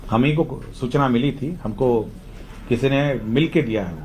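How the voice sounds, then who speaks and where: Man, medium pitch, official briefing style, halting explanations, faint ambient noise. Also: darkish tone, rough recording. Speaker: government education official. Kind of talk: explaining policy at a press briefing.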